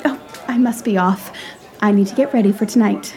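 A woman's voice: mid-range voice, normal speed, darkish tone, a faint crowd, lightly acted. A woman acting in a period audio drama as a spirited, frank young lady, speaking with polished, formal diction. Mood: poised, frank. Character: Amy, spirited, refined young lady abroad, fond and frank.

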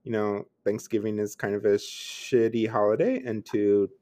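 A podcast co-host from Pennsylvania chatting casually, direct and relaxed, with many fillers. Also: medium pitch, normal speed.

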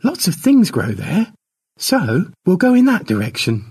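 A protective clan mother from a storybook, acted out by a male narrator, pitched well up and husky. She speaks in a motherly, insistent way to the young one in her care. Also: wildly swooping pitch, measured pace, a little mellow, heavily acted. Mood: reassuring. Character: protective, reassuring woman of a primitive clan.

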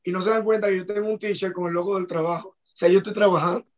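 A man with a Dominican Spanish accent and a high voice talks in a relaxed, storytelling way. He is one of the regulars on a casual panel chat. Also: fast. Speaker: podcast panelist.

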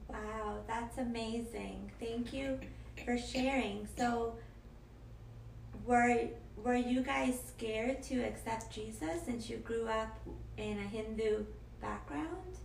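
A young woman hosts an interview in a fairly high voice, slow and gentle, with a gravelly edge. She is a little distant from the mic in an echoey room with faint background noise. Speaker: podcast host. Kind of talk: interview hosting.

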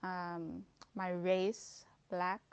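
Slow slow girl, 19, smooth voice, lots of ums. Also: lowish voice, level tone, no room sound. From South Africa. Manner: hesitant, many pauses and ums. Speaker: podcast guest.

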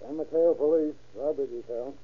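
A man's voice: medium pitch, brisk, slight background noise, close to an everyday voice. Actor as tinny, terse police desk man. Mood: businesslike. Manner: terse, matter-of-fact questioning. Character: businesslike male police desk officer. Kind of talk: 1930s radio drama acting. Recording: tinny, narrow old radio recording.

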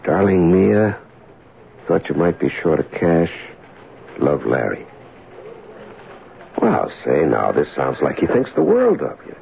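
A fatherly detective in his fifties with a very low voice that swings widely in pitch. He talks in a wry, hesitant, folksy way, as in vintage radio drama, through a narrow old broadcast sound. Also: wildly swooping pitch, slow pace, vocal fry, long pauses, full character voice. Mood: gentle, hesitant. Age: 50s. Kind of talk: old-time radio drama acting with first-person narration. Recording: band-limited, hissy old radio recording.

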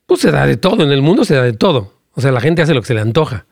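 A male pastor of middling pitch leads prayer with fervent, rhythmic repetitions. His voice lifts noticeably when he argues. The sound is dry and close, with no room echo. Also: quick pace, gravelly. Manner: animated, rising pitch when arguing a point. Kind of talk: live-streamed sermon and prayer with Q&A.

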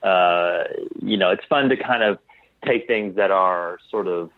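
Male podcast guest, lowish voice, casual and halting, restarts often, heard through a narrow phone-like connection. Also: no room sound. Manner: halting, tentative, trails off and restarts. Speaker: interview guest, clothing designer. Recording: tinny phone-like line.

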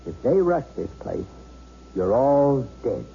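A man's voice: mid-range voice, sing-song, takes it slowly, creaky, warm tone, breathy edge, full character voice. An actor playing a cocky, volatile bank robber in a radio drama, with sarcastic, bossy, slangy tough-guy talk. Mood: menacing. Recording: dull, noisy 1970s radio broadcast.

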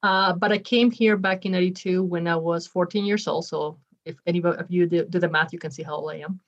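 A woman's voice: on the low side, fairly even intonation, a little fast. Chatty woman from Ecuador, muffled sound. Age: 40s. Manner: chatty and upbeat, with frequent ums and restarts. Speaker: nonprofit leader and guest. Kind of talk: podcast interview.

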